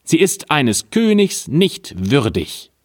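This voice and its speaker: A man voicing a spiteful, scheming old queen mother, shrill and scornful in her speech. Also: very animated, slow pace, no room sound, studio quality, full character voice.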